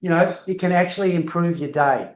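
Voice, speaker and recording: An older man giving a talk to a club meeting, projecting his booming voice across the room without a working microphone. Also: fairly quick, echoey room. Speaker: guest speaker. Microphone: unreliable handheld mic, then unamplified.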